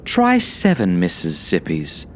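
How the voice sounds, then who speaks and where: A man voicing a playful yet menacing mother in a horror story, with drawn-out, emphatic words. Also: sits low, huge pitch swings, a little hiss or hum, exaggerated voice.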